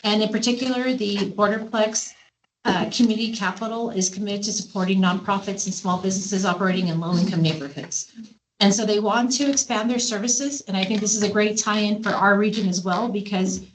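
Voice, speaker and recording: A woman with a medium-pitched voice introduces a guest speaker at a government board meeting. She speaks plainly, with a few fillers, over a faint background noise. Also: a little fast, low-quality recording.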